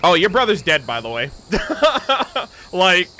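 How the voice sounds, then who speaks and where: A man's voice: high-pitched, sing-song, slow pace, pushed and bright, slight background noise. A man hosting a reaction video, giving casual, slangy commentary full of exclamations.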